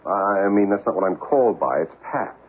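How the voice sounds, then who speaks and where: A man's voice: low-pitched, animated, normal speed. An actor playing a puzzled, courteous man, speaking hesitantly in a radio drama. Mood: puzzled, uneasy. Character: bewildered, polite man, mistaken for another. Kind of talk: 1970s radio drama acting. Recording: muffled noisy old radio broadcast.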